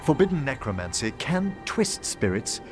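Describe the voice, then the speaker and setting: A man plays a gentle, passionate scholar of necromancy in a fantasy game. His speech is formal and precise, with a richly animated melody. Music plays behind him. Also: mid-range voice, normal speed, natural voice. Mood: earnest, gracious. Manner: formal, eloquent, precise diction. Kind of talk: video game cutscene acting.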